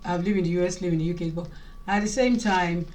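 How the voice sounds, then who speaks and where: A woman's voice: lowish voice, fast, a little mellow, rough recording. A woman from Nigeria with a Nigerian accent hosting a casual vlog, often repeating and rephrasing her points. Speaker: vlog host.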